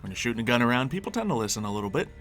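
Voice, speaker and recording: A man's voice: mid-range voice, sing-song, rapid-fire, fairly bright tone, soft background music. A man playing a level-headed, questioning survivor and confidant in an improvised zombie game roleplay, speaking conversationally. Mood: calm, reassuring.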